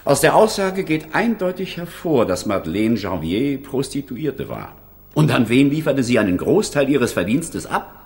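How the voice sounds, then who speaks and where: Sarcastic judge with swooping delivery. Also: male voice, mid-range voice, big pitch swings, normal speed, a little mellow. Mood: stern, impatient. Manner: sarcastic, stern, emphatic. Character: sarcastic, irritable male presiding judge in court. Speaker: actor in radio crime drama. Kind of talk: German radio play acting.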